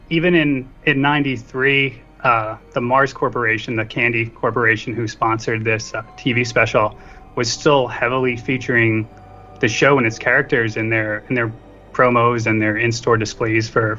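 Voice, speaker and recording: A man's voice: medium pitch, little pitch movement, normal speed, sharp tone. A man chatting casually as a guest on a podcast. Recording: dull lo-fi mic, faint music behind.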